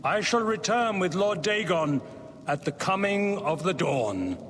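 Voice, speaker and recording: Slow, grandiose cult prophet. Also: male voice, high-pitched, animated, a bit bright, slight background noise. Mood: exalted, menacing. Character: grandiose male cult leader preaching as prophet of doom. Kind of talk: video game villain acting, oratory. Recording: long hall reverb, faint music behind.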